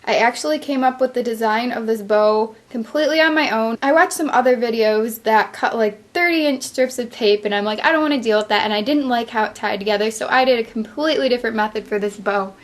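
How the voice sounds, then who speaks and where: Young woman, somewhat high voice, losing her voice, chatty, upbeat, craft tutorial host. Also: quick pace, few pauses. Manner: chatty, upbeat, explains each step.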